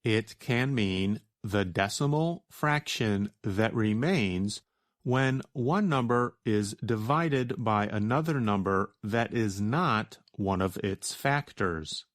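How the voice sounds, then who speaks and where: Slow, low-voiced American man teaching. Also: animated, no room sound, clean studio sound. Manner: measured, deliberate, explanatory. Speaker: teacher answering a listener's question. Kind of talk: slow scripted educational narration.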